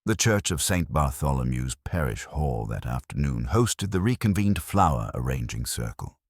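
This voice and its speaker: A man narrates a mystery story in an extremely deep, husky voice recorded dry and close. His delivery is measured and wry, like a literary audiobook, with plenty of melodic movement. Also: dry recording.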